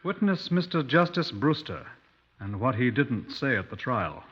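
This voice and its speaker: A British man playing a composed, probing police inspector in a 1950s radio drama, speaking formally and with measured care. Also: medium pitch, sing-song, husky. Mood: grave, reflective. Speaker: actor in a radio crime drama. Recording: dull, muffled 1950s radio recording.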